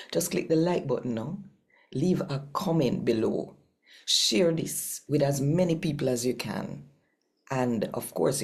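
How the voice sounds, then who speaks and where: A woman with a Jamaican accent speaking deliberately as a host and scripture reader. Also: very deep voice, mellow. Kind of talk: channel talk and expressive Bible reading.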